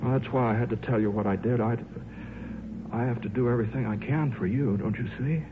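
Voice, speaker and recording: A man's voice: medium pitch, lightly acted. Man acting a hesitant scientist, tinny vintage radio. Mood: heartfelt. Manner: hesitant, halting, earnest, stammering. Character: shy, earnest, bumbling male scientist, devoted father. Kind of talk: 1950s radio drama acting. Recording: muffled, noisy 1950s radio recording.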